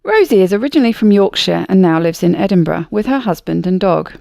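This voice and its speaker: A woman's voice: mid-range voice, lively intonation, fast, gravelly, mellow, no echo, clean studio sound. A woman hosting a podcast, reading a scripted intro and then chatting with her guest in an upbeat, friendly way. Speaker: podcast host. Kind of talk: scripted intro, then casual interview chat.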